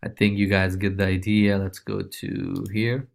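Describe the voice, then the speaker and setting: Low-pitched man giving a casual software tutorial. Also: level tone, measured pace, gravelly. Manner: informal, loose, repeats check-in tags like okay and right. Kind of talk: casual screencast walkthrough.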